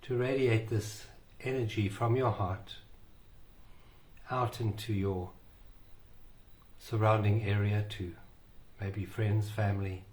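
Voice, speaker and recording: A man guiding a meditation in a slow, soothing way with long pauses. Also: on the low side, steady tone, darkish tone, poor audio. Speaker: meditation guide. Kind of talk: guided meditation.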